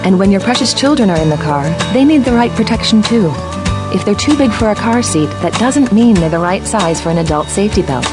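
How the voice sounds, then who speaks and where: A woman's voice: mid-range voice, animated, a little fast. A woman announcer reading a scripted public service message. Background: music with guitar.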